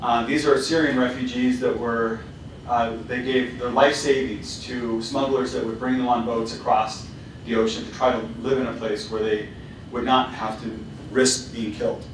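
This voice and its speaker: A man's voice: medium pitch, little pitch movement, moderate pace, distant mic, a little hiss or hum. A man giving a casual presentation as a company founder, with many fillers and restarts. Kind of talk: presentation to students. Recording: very echoey hall, voice from afar.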